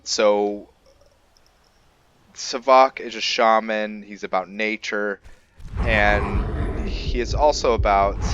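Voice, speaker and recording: A man's voice: on the low side, lo-fi sound, background noise. A man giving casual, rambling gameplay commentary, chatting informally to his viewers as the host. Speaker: gaming video host.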